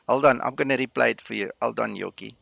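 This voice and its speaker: A man's voice: mid-range voice, vocal fry, bright, forceful tone, dry recording. A man from South Africa with an Afrikaans-rooted South African accent, chatting casually with viewers while streaming a flight sim, full of fillers and greetings. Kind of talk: casual live-stream chat.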